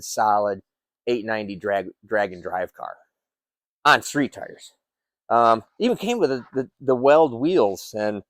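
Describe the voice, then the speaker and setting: A man's voice: fairly low pitch, smooth, no room sound. A man in his fifties, an engine builder in his workshop, walking through a project car in a rambling, enthusiastic way with lots of fillers and restarts. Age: 50s. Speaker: engine builder and shop owner. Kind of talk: casual walkaround of a project car.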